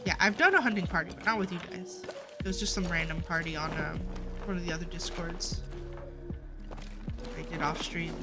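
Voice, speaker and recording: A tired woman chatting casually as she livestreams a game, with a slightly stuffed-up nasal voice and a halting, stammering delivery that often repeats words. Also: on the low side. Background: music.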